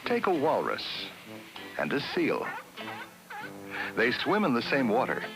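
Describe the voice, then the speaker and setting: A man giving measured, scripted narration for a 1960s classroom educational film. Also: medium pitch, wildly swooping pitch, music in the background, low-quality recording. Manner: measured, explanatory.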